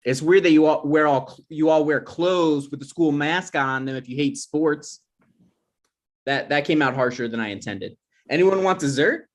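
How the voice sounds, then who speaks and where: A man plays a touchy grown son and socially awkward host in a comedy read-through. He is defensive and quick to argue, speaking in an everyday conversational voice. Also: fairly high pitch, natural voice. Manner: defensive, argumentative. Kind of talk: comedy script table read.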